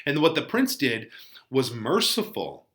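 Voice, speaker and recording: Male teacher, casual lesson commentary, colloquial phrasing, very lively intonation, forceful bright tone. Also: medium pitch, measured pace. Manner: animated, colloquial, acts out paraphrases.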